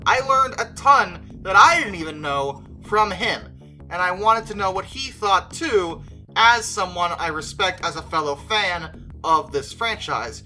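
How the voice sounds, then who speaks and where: A woman's voice: medium pitch, pushed and bright, low-quality recording. A woman talking conversationally through an opinionated fan review, with emphatic stress on key words. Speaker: video creator reviewing films as a fan.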